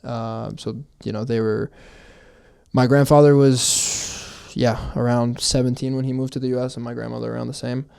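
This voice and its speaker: A young man from New Jersey, a pro soccer player, chatting casually as a guest with relaxed, repetitive phrasing. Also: mid-range voice, moderate pace, creaky, clear, resonant tone. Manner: relaxed, repeats phrases, says "man" and "you know". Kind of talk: casual interview chat.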